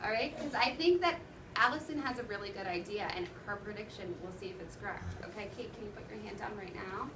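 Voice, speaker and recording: A woman's voice: medium pitch, fast talker. A woman teacher reading a storybook aloud to a class, expressively, with instructive, questioning talk. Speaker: elementary school teacher. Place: indoor classroom. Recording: echoey noisy room, distant mic.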